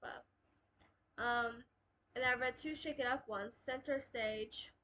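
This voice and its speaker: A woman's voice: on the high side, slow, bright, forceful tone. A young woman with a stuffy, nasal voice from a cold, chatting casually and rambling through her monthly book wrap-up with many ums and restarts. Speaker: booktube host.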